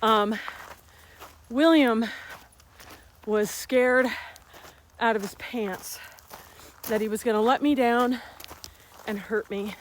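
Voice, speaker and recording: A woman in her fifties talks animatedly into her phone as she hikes a rural trail, her voice a touch high and a little winded. A faint outdoor hiss sits behind her. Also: slow pace, pauses often. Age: 50s. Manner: chatty, animated storytelling with laughs. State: slightly out of breath from walking uphill. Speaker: vlogger and health coach. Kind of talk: casual vlog while walking.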